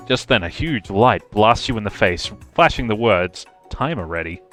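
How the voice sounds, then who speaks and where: Low-voiced man narrating. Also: big pitch swings, a little slow, a bit bright, dry recording, faint music behind. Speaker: streamer reading game narration. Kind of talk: game narration read aloud on livestream.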